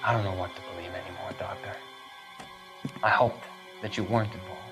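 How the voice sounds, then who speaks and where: An actor playing an earnest, troubled young medical student who talks with urgency in a video game cutscene. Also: male voice, low voice, steady tone, fairly quick, over loud music, close to an everyday voice. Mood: troubled, doubtful. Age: young adult.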